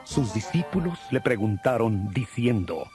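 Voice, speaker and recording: A man narrating a dramatized audio Bible in a solemn, measured storytelling manner. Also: lowish voice, sing-song. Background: music.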